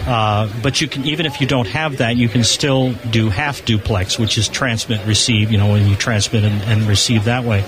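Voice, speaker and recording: A man's voice: on the low side, fairly even intonation, moderate pace, hardly any pauses. A man being interviewed as a guest on a live show, explaining technical things informally from a convention floor booth. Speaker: amateur satellite enthusiast, show guest. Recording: busy crowd noise, live radio broadcast. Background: crowd chatter.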